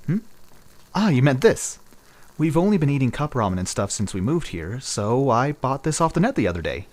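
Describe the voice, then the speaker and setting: An actor playing a gentle, dutiful young worrywart of an eldest brother in an anime-style manga dub, earnest and reassuring. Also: male voice, medium pitch, sing-song, normal speed, close to an everyday voice. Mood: wistful, confiding.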